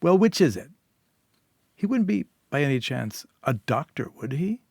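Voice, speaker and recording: Male reader as mocking tough woman, raised pitch, sarcastic, bullying, audiobook short story, dry close sound. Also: sing-song, moderate pace, gravelly, a little mellow, no room sound, heavily acted. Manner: sarcastic, taunting. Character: sharp, mocking, bullying woman with a criminal edge.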